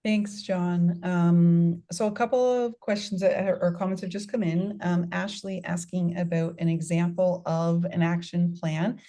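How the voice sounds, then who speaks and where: A woman's voice: on the low side, little pitch movement, a little slow, hardly any pauses, mellow. A woman co-hosting a webinar, politely explaining answers to attendees' questions. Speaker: webinar co-host. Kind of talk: webinar Q&A response.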